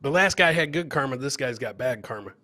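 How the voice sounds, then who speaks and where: A man's voice: mid-range voice, lively intonation, a little fast, pushed and bright, no room sound. A middle-aged man hosting a live comedy show, casually commenting on clips and chatting with viewers, joking and repeating his phrases. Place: indoor home studio, webcam livestream.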